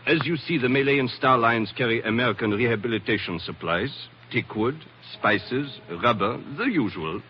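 An actor with a French accent playing a courteous, guarded shipping line manager in a 1940s radio drama. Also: male voice, medium pitch, lively intonation, normal speed, hardly any pauses, natural voice. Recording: muffled, hissy old radio recording.

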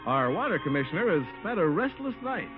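A man's voice: a little high, very animated, a little fast, music in the background. An American man announcing on 1950s radio, with a polished, salesmanlike delivery. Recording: tinny 1950 radio recording.

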